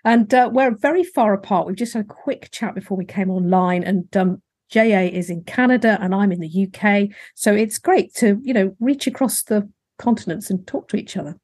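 Woman, podcast host, husky voice, middling pitch, rambling friendly chat. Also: normal speed, darkish tone. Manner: friendly, rambling, many fillers. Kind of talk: casual interview chat.

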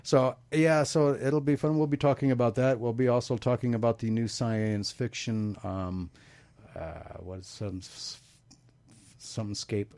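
A man's voice: mid-range voice, steady tone, unhurried, a little mellow, no room sound. A man hosting a live radio talk show, chatting casually, laughing often and reading out listener messages.

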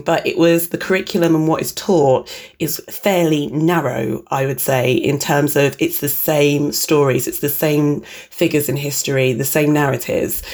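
A woman's voice: low-pitched, darkish tone. A middle-aged woman broadcaster from the UK answering interview questions, reflective and enthusiastic as she tells stories.

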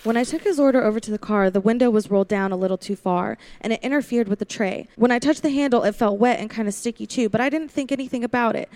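A woman's voice: fairly high pitch, quick pace, few pauses, darkish tone, lightly acted. A woman playing a young, lonely drive-in waitress in a 1940s-style radio play, confiding and wary. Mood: wistful, confiding. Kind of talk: 1940s radio play acting, first-person narration.

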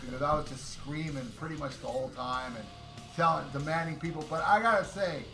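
A loud-voiced man co-hosts a podcast in a brash, boastful, profane manner. His voice sits a little high and sounds roomy. Music plays behind him. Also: brisk, creaky. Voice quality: very loud, powerful voice. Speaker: podcast co-host. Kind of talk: casual podcast chat.

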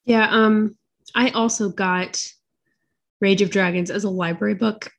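A woman's voice: mid-range voice, fairly even intonation, unhurried, vocal fry. Easygoing woman podcast co-host. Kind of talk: casual chat about books.

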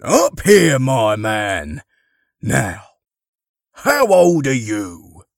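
A man's voice: medium pitch, huge pitch swings, very slow, gravelly, no echo, studio quality, voice pushed up, heavily acted. A man playing a kindly older grandfather as a jolly Father Christmas, with hearty, projected delivery in a story. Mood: encouraging. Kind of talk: audiobook character dialogue.

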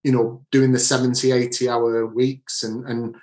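A man's voice: mid-range voice, level tone, normal speed, a little mellow, echoey room. A man from the Manchester area with a Manchester accent, an author and coach answering interview questions earnestly, often restarting his phrases. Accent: Manchester English. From Manchester area, England.

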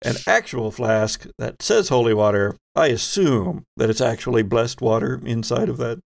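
A man's voice: mid-range voice, moderate pace, vocal fry. A man hosting and running a tabletop roleplaying game, talking conversationally and guiding players with questions. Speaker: game master and podcast host.

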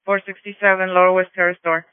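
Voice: mid-range voice, level tone, fast, clear, resonant tone, no echo. A police radio dispatcher directing units in radio procedure over a narrow radio channel.

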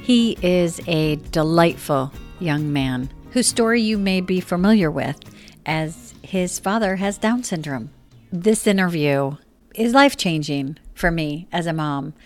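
Low-voiced earnest woman podcast host. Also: lively intonation, a little slow, a little mellow, soft background music. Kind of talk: podcast interview.

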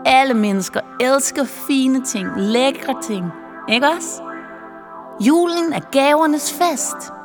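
A high, coaxing voice of a strange, pushy saleswoman in a pink wig, as a woman storyteller puts her on, wheedling and selling hard. The voice rings in a long, hall-like reverb, with soft music under it. Her manner is sugary and insistent.

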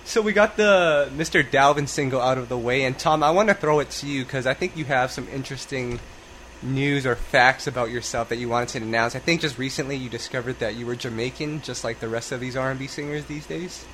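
Podcast host: American male, medium pitch, easygoing, teasing chat, slight noise in the background. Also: brisk, a bit bright.

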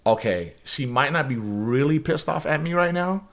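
A man's voice: fairly high pitch, expressive, normal speed. A man on a podcast, talking earnestly about marriage in a rambling way, often restarting his sentences. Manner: earnest, rambling, self-correcting. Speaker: podcast guest. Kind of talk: casual podcast conversation.

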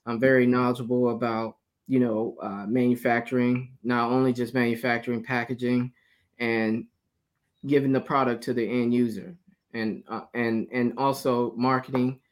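Easygoing Alabama-born businessman guest. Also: medium pitch. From Alabama, USA. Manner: easygoing, laughs often, many "uh" pauses. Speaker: guest, cigar company owner. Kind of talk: casual interview.

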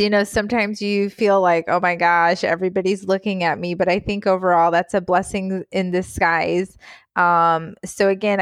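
A woman's voice: on the low side, no echo. A woman in her thirties from Guyana, a physician telling her life story in a casual interview, chatty with frequent laughs and fillers. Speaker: physician guest.

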